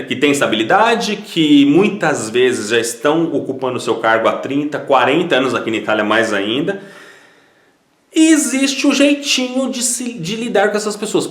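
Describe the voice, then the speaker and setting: A man's voice: fairly high pitch, reverberant. A man from Brazil with a Brazilian Portuguese accent, giving casual, conversational advice to viewers as a citizenship consultant, often repeating words as he restarts.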